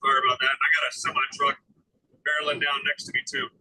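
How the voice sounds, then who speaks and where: A man on a phone video call while driving, speaking reflectively with many pauses and fillers. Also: medium pitch, level tone, sharp tone, a little hiss or hum, poor audio. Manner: reflective, hesitant, lots of fillers. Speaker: sales call participant. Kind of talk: casual group call. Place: driving next to highway traffic.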